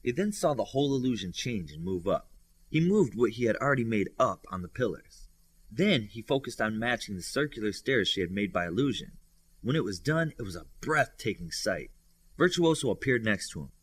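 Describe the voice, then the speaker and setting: A man's voice: lowish voice, very animated, a little fast, breathy edge. A man reading scripted narration from a superhero novel as an audiobook reader.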